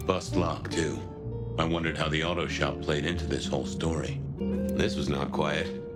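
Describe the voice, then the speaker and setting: An actor playing a folksy, laconic police detective with dry humour in a noir video game. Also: male voice, rumbling low, steady tone, moderate pace, over loud music. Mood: brooding, wry. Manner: laconic, wry, colloquial. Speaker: detective, narrator. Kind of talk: hardboiled noir game narration and dialogue.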